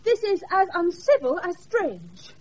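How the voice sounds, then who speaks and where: Very high-voiced earnest British page. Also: female voice, huge pitch swings, measured pace, a little mellow, a little hiss or hum, exaggerated voice. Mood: bewildered, anxious. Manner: polite, earnest, protesting. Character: earnest young woman disguised as a page boy. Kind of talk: classical radio play acting. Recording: dull, distant off-air radio recording.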